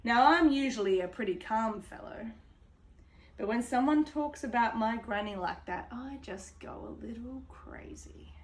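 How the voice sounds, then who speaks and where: A woman plays a chatty storybook wolf who insists he is innocent. The voice is high and takes its time over every phrase. He confides in the listener with folksy, exaggerated storytelling. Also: expressive, a little slow. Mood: indignant, self-justifying. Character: self-justifying chatty male wolf protesting his innocence. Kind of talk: children's storybook read-aloud.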